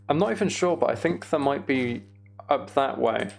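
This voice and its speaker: Creaky-voiced British man, casual streamer. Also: mid-range voice. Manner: rambling, thinking aloud. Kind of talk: casual livestream chat while gaming.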